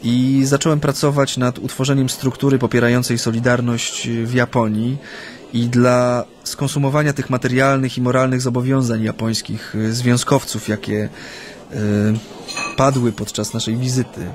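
A male actor plays an exiled Japanese activist in a radio play, a man with a medium-pitched voice. He reminisces thoughtfully, hesitating and humming between phrases. He sounds proud and homesick, in a natural, understated style. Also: level tone, slight background noise, lightly acted. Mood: reserved, measured. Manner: hesitant, reflective, pausing with hums.

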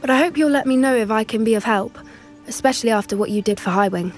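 Woman playing a high-voiced British schoolgirl witch. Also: fast talker, soft background music, lightly acted. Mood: earnest, concerned. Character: earnest, caring teenage schoolgirl witch, animal protector. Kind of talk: video game cutscene acting.

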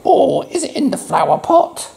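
A man's voice: high-pitched, huge pitch swings, normal speed, vocal fry, a little mellow, voice pushed up, heavily acted. A man voicing a puzzled, self-satisfied duck from a children's picture book, in a theatrical, questioning way.

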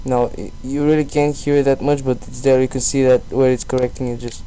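Guy doing a casual how-to screen recording, mellow voice, kinda halting, some steady noise behind him. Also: mid-range voice, rough recording. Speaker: tutorial presenter. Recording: steady background noise on voice-over.

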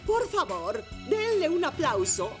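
A woman's voice: high voice, very animated, a little slow, loud music behind the voice. A woman playing a kindly, formal and playful motorcycle schoolteacher in a children's cartoon dub, announcing things theatrically. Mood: proud announcing.

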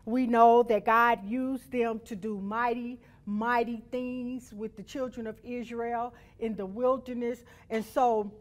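A middle-aged woman teaching a Bible lesson to children in an instructive, emphatic way, often checking in with short tag questions. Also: on the high side. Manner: instructive, emphatic, with check-in tags like "right?" and "okay?". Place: indoor studio or church room.

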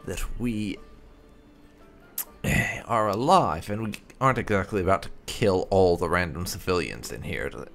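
A man giving casual commentary on a game playthrough, halting as he thinks aloud. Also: low-pitched, creaky, a bit bright. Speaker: gaming video host. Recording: faint game music behind.

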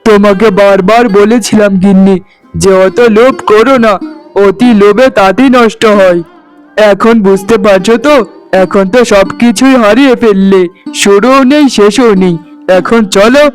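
A man's voice: high voice, normal speed, close to an everyday voice. A man voicing a resigned village husband in a melodramatic cartoon, reproachful and scolding. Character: scolding, resigned elder brother blaming his greedy wife. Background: plucked-string music.

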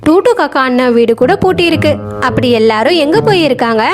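A woman's voice: high-pitched, expressive, brisk, soft background music. A woman voicing a small, concerned, puzzled bird with a questioning manner in a children's cartoon story.

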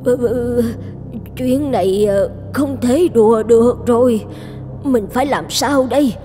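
Woman as a slow-talking, high-voiced anxious old midwife. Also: mellow, faint music behind, natural voice. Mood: bewildered, worried. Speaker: voice actor. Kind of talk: animated fairy-tale dubbing.

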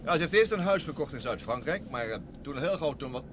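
Radio drama motorist male actor: apologetic, talkative, faltering, animated pitch, audible engine noise. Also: fast talker, lightly acted. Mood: uneasy, chatty. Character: apologetic, chatty man driving at night. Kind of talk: Dutch radio drama acting. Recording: noisy car sound effects. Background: car engine noise.

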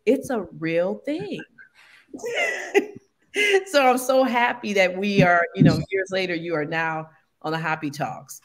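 A woman in her forties hosting a casual livestream interview over a video call from home, chatty and animated, addressing viewers as family. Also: mid-range voice, slow pace, pauses often. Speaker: host. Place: home room with plants. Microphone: video call webcam.

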